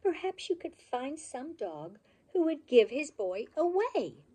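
A woman's voice: sits high, big pitch swings, a little slow, mellow, voice pushed up. A woman voicing a patient mother dog who coaxes and questions her pup. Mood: coaxing. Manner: coaxing, questioning.

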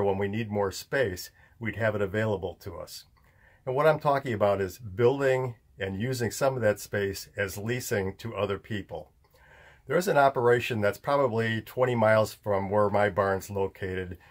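An older man hosting a homestead vlog, explaining barn building in a plainspoken, folksy way on a lapel mic. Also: lowish voice. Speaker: homestead channel host. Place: indoor room, later inside a metal pole barn.

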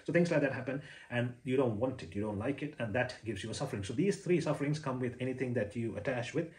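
A man's voice: medium pitch, fast talker, a little mellow. Sri Lankan man, hesitant explainer. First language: Sinhala. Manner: hesitant, many uh fillers and restarts; explaining with hand gestures. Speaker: former Buddhist preacher. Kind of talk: casual talk to camera. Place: indoor room with a home shrine.

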